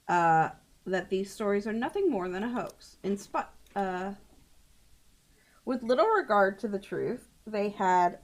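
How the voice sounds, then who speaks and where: A woman livestreamer talking casually and playfully, often swearing, as she hosts a game stream. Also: medium pitch. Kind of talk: casual livestream chat with some game text read aloud.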